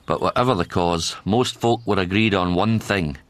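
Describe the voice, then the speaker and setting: An actor as a wry, knowing Scottish narrator, telling a scripted radio drama story. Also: male voice, sits low, normal speed, dry recording, close to an everyday voice.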